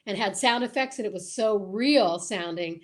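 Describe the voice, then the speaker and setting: A woman's voice: mid-range voice, normal speed. A woman with a mid-range voice talks casually as the host of a chat. She is enthusiastic and leans hard on her words, often repeating them for emphasis. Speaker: host of a radio drama group's live chat.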